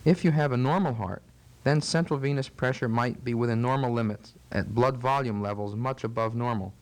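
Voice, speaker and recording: A man's voice: medium pitch, a little fast. Man giving formal, precise medical explanations. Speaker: physician interviewed as expert. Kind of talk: measured medical teaching interview.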